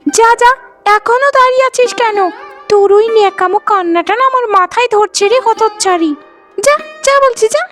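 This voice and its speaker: A woman's voice: very high voice, normal speed, fairly bright tone, faint music behind. A woman voicing a vain, spiteful young bride in a melodramatic cartoon, with a showy, taunting manner. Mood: scornful.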